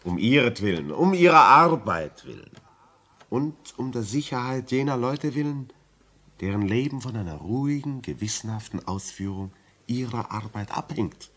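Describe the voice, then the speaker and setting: An actor playing a rational, concerned gentleman in a radio play, speaking in an emphatic, admonishing yet reassuring manner. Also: mid-range voice, expressive, normal speed, slightly rough. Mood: stern, urging. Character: rational, concerned gentleman urging a troubled friend to reason. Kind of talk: German radio play acting.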